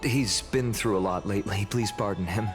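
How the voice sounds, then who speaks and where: An actor playing a mild-tempered, earnest young hero in a video game, polite, sincere and formal. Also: male voice, on the low side, normal speed, over music, natural voice. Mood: courteous.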